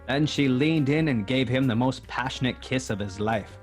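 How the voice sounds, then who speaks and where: A man with a mid-pitched voice narrates a noir radio spoof. The delivery is scripted storytelling, with music playing underneath. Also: normal speed.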